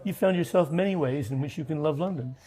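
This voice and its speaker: A man's voice: a little high, fast, warm tone. A man co-hosting a podcast who speaks in a wordy, thoughtful way. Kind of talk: casual chat.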